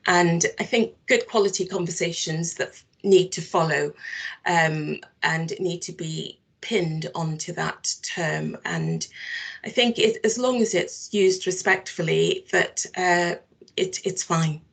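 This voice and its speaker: A woman in her fifties from Barbados, a social work manager answering interview questions in a thoughtful, measured way with frequent restarts. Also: lowish voice, gravelly, husky.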